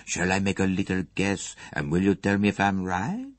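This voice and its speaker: A man voicing a courteous, shrewd foreign detective in an audiobook, with formal, measured, precise phrasing and a gently probing manner. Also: low voice, lively intonation, dry recording. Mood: calm, probing.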